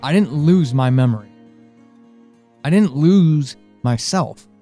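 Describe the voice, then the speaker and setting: Guy acting a worried earnest man in an audio drama, kinda high voice, halting and pleading, music under it. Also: lively intonation, mellow, heavily acted. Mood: uneasy, searching. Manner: halting, earnest, with pauses and emphatic swings. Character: anxious, pleading man reaching out to a lost loved one.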